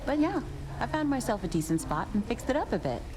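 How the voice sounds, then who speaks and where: A relaxed young shade. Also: female voice, a little high, brisk. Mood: musing. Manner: relaxed and musing. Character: easygoing young woman shade, a singer. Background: game music.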